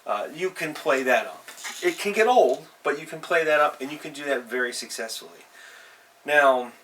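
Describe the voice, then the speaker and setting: Male host, bright, forceful voice, informal unscripted advice delivery, emphatic and conversational, recorded a little away from the mic. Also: on the high side, lively intonation, moderate pace. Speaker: host of a tabletop role-playing advice show. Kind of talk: casual unscripted advice talk. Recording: distant mic, faint background noise.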